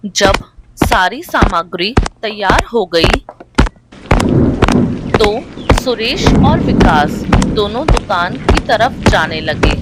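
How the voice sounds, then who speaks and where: A woman reading scripted narration for a moral storybook tale. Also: fairly high pitch. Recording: rain, thunder and vehicle noise behind.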